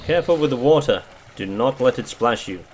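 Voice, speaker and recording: Man acting a wry, animated gentleman doctor. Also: medium pitch, fairly quick, slight background noise, lightly acted. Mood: practical. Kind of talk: audio drama acting.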